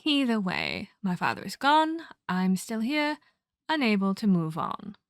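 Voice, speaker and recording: A woman voicing the centuries-old ghost of a murdered girl in a horror ASMR roleplay, eerie, menacing and wistful, speaking deliberately with breathy, whispery delivery. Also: mid-range voice, wildly swooping pitch, no echo, clean studio sound. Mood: mournful, eerie. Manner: slow, deliberate, theatrical storytelling.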